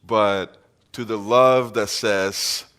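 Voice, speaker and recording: Slow, low-voiced preaching man. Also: steady tone, a bit bright. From Venezuela. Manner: earnest, emphatic preaching with repeated phrases. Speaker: pastor. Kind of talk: sermon.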